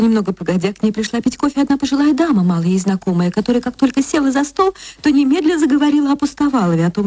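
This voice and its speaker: High-voiced woman narrating a story. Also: darkish tone, dry recording. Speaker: actress narrator. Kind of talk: expressive literary audiobook narration.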